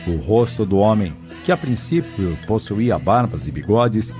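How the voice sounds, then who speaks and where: Low, mellow male narrator. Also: lively intonation, moderate pace, faint music behind. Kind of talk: scripted audio drama narration. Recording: dull lo-fi audio drama recording.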